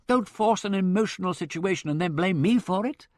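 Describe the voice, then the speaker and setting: A man voicing a witty gentleman in love, speaking in emphatic, impassioned outbursts. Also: high-pitched, animated, a little fast, no echo, clean studio sound, pitched above the performer's own voice. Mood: earnest.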